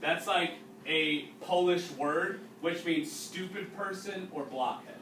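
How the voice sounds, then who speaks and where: A man with a fairly high, very bright and forceful voice reads aloud like a classroom teacher. He tells the story and explains it to young listeners as he goes. The sound is roomy and set back from the mic. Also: slow pace. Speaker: teacher reading aloud to students. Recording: roomy, distant mic with faint noise.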